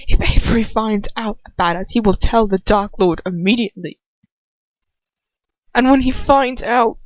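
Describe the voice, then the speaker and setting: A woman voicing a stern, tormented man, guarded and grave, with quiet intensity in a story reading. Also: a little high, normal speed, dry recording, lo-fi sound, natural voice. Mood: grave urgency.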